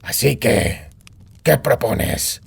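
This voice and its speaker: A man playing a gruff, guarded monster hunter in a fantasy audiobook, terse and dry, with a gravelly, husky voice. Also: mid-range voice, sing-song, drawn-out words, heavily acted. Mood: wary, questioning. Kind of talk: acted audiobook dialogue.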